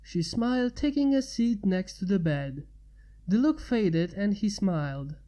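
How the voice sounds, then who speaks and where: A woman's voice: mid-range voice, lively intonation, unhurried, dark tone. A woman narrating a scripted piece of fan fiction as its reader.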